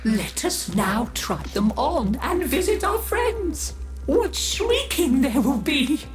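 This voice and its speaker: A woman voicing a bored, cruel decadent noble who exclaims with shrill glee. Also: sing-song, slow, loud music behind the voice, heavily acted. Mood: gloating.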